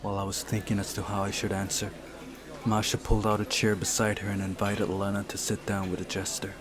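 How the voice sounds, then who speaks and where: A man's voice: on the low side, completely flat delivery, fairly quick, a crowd in the background. A man reading a visual novel story aloud as narration, sometimes going back over a phrase. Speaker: visual novel player narrating and voicing the game's text.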